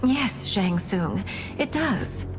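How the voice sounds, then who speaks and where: A woman's voice: medium pitch, animated, very slow, music in the background, low-quality recording. A woman playing a poised, emotional and cunning young warrior princess in a dramatic video game cutscene. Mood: sly, composed.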